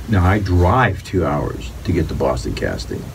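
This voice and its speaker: Low-voiced, lively male host, supportive, recorded off-mic. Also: moderate pace, vocal fry. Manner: supportive, frequent short agreements. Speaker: interview host. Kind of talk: casual interview chat. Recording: distant mic with faint noise.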